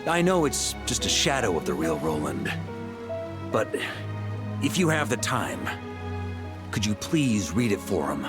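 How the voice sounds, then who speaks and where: An actor playing a calm, wry noble knight commander in a game, speaking in a measured, gentle way. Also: male voice, lowish voice. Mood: earnest. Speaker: game character voice. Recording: game audio with soft music behind.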